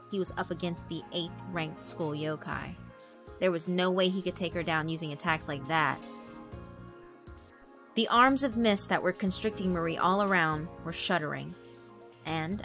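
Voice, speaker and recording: A woman narrator reading a scripted story in a dramatic storytelling style. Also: lowish voice. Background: background music.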